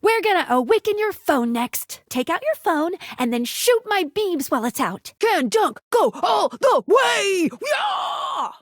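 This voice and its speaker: A woman voicing a chipper, helpful young female magical guide in a video game, with a perky, playful and expressive manner. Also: extremely high pitch, moderate pace, hardly any pauses, dry recording, studio quality. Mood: excited, encouraging. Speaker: voice actor playing a guide character in a dating simulator game. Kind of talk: animated video game character dialogue.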